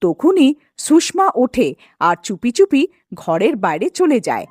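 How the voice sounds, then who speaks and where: A woman's voice: on the high side, sing-song, slow, a little mellow, slightly rough, no echo, studio quality. A woman telling a scripted ghost story as the narrator of a cartoon.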